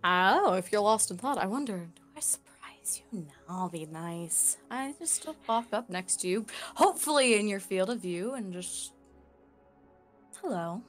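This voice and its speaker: A woman with a mid-pitched voice plays a tabletop game, describing her character's actions in casual talk. Faint music plays behind her. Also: animated, measured pace. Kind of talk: casual roleplay chat.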